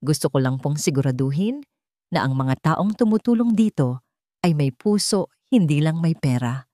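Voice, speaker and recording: A woman's voice: low-pitched, normal speed, no room sound. Woman acting steadfast young daughter-in-law, dry close sound. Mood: steady resolve. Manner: steady, earnest, insistent. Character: principled, steadfast young daughter-in-law, respectful but defiant. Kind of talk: audio drama character voice.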